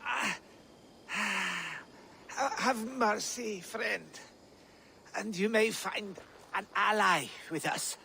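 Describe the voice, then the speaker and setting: A captured raider, taunting his captors with a gravelly, bright-edged voice. He drags out every word, in no hurry at all. A faint outdoor ambience sits under him in this game cutscene. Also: high-pitched, very slow, long pauses, a little hiss or hum, exaggerated voice. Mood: pleading. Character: captured male Geat raider, sly and defiant.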